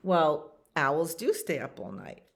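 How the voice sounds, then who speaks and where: Slow, low-voiced woman reading bedtime stories, very expressive. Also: creaky, husky. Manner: expressive reading with acted animal sounds and pauses to comment on pictures. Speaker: children's storytime reader at a library. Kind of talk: read-aloud bedtime stories with casual asides.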